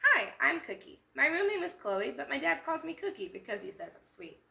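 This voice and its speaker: A woman's voice: high-pitched, sing-song, fairly quick, vocal fry, sharp tone, pitched above the performer's own voice, heavily acted. A woman voicing a brainy, sweet little girl inventor from a picture book, proud and precise with long scientific words. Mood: bright, proud. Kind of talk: picture-book read-aloud character.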